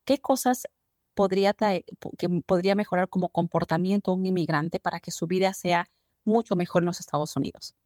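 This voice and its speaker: Woman host, lowish voice, dry close mic, long emphatic questions. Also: fairly quick, a little mellow, no room sound. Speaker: podcast host. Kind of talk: interview questions.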